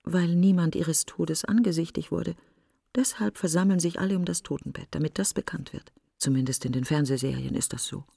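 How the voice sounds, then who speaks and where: An actress playing a grieving woman in a literary radio monologue, speaking in hushed, halting fragments. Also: sits low, creaky, breathy edge, full character voice. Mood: bleak, brooding. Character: grieving woman brooding on her dead best friend.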